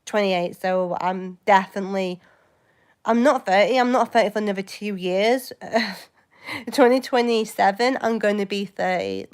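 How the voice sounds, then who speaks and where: A young woman in her late twenties talks to her livestream viewers in a loose, meandering way. She hesitates often and hops from topic to topic. Her voice sounds smooth and clear, at a middling pitch for a woman. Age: 20s. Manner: rambling, hesitant, jumps between topics. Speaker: livestream host. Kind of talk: casual live chat with viewers.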